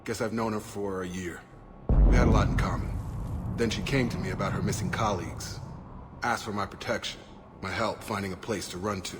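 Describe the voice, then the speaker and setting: A man's voice: lowish voice, steady tone, a little fast, over loud music, lightly acted. A man playing a weary, principled soldier in a video game, speaking earnestly and plainly about protecting his people. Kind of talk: dramatic video game acting.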